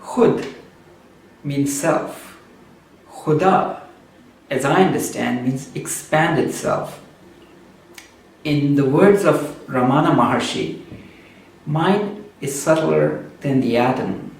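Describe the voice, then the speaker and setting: A man whose native language is Urdu gives a slow, measured spoken reflection and often pauses with "uh". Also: a little high, gravelly. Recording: very echoey room, distant mic, faint background noise.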